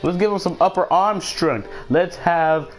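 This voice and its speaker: A man's voice: high voice, a little slow, soft background music. A man chatting casually as he hosts a live gaming stream and commentates on the action, often repeating phrases for emphasis.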